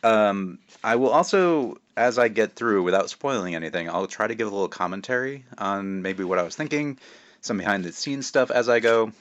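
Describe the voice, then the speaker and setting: A man's voice: fairly low pitch, lively intonation, normal speed, fairly bright tone. A man speaking as a children's author, informally reading a storybook aloud with modest asides. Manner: self-deprecating, informal.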